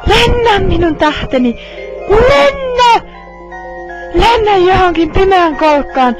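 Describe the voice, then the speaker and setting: A woman's voice: very high-pitched, sing-song, loud music behind the voice, rough recording. A woman voicing an anguished anime heroine in a melodramatic, pleading amateur fan dub. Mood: wistful farewell. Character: anguished anime heroine fighting off inner evil.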